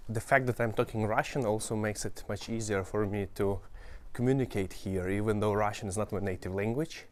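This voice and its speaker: A man's voice: fairly low pitch, steady tone, brisk. A man from Western Ukraine, a native Ukrainian speaker with a Ukrainian accent, giving casual travel commentary while walking outdoors and pointing things out to viewers. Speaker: travel vlogger. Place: outdoors walking through an old town.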